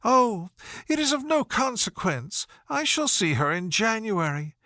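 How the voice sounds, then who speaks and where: Man putting on a high, gushing young lady. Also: sing-song, measured pace, bright, forceful tone, dry recording, clean studio sound, exaggerated voice. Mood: gushing, flattering. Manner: effusive, fawning. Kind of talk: audiobook character voice.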